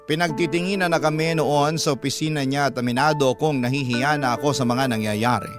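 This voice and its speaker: A man's voice: medium pitch, steady tone, a little fast. A man narrating a scripted first-person story in the style of a radio drama. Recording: music under the narration. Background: background music.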